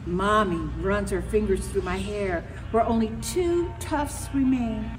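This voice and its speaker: A middle-aged woman narrating a storybook in an expressive, dramatic, emphatic way. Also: medium pitch, a little slow. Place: large indoor market hall. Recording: echoey hall, music behind.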